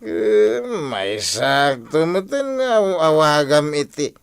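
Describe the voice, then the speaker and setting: A sulky, proud husband in a radio drama. He grumbles defensively, swinging widely in pitch, recorded close and dry. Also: sing-song, slow pace, gravelly, clean tone, no echo, exaggerated voice.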